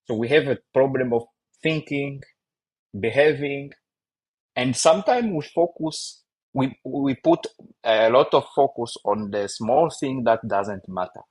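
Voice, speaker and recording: Earnest young Rwandan man, husky voice. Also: medium pitch, expressive. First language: Kinyarwanda. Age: young adult. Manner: earnest, rhetorical questions, halting English. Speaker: youth discussion guest. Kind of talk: casual discussion.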